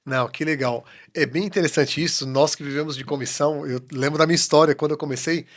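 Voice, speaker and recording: A man's voice: on the high side, fairly even intonation, quick pace. A Brazilian man hosting a podcast interview, speaking in an encouraging, motivational way. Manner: encouraging, motivational, repeats his points. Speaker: podcast host. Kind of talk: casual interview talk.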